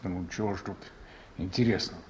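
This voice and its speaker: A man's voice: deep voice, fairly even intonation, fairly quick, slight background noise, natural voice. An actor playing a weary, proud reformed ex-convict man in a Soviet radio drama, speaking tersely. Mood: guarded, subdued.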